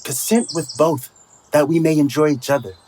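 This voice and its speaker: A man's voice: a little high, fairly even intonation, normal speed, background noise, lightly acted. A man acting an impulsive, eager young lover in a Shakespearean radio play. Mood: eager, ardent.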